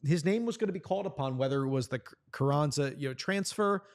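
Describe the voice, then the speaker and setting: A man's voice: a little high, animated, fast. Guy who does play by play, talking sports in a conversation, earnest, backs the players. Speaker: radio play-by-play sports announcer, guest.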